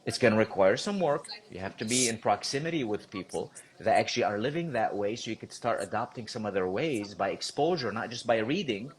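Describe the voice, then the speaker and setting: A man's voice: lowish voice, animated, a little fast, hardly any pauses. A man talking bluntly and emphatically as a guest in a casual podcast chat.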